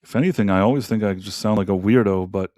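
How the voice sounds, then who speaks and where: A man's voice: fairly low pitch, fast talker, dark tone, no room sound, clean studio sound. A man co-hosting a casual live stream, rambling and trailing off into restarts as he reads viewer messages. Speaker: co-host.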